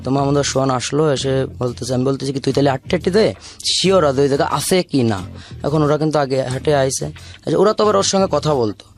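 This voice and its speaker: A man's voice: medium pitch, fairly quick. A man with a southwestern Bangladeshi accent from the Khulna region, recounting his life informally in a rambling, repetitive way and acting out remembered dialogue. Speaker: guest telling their life story. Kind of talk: informal personal confession, storytelling. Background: background music.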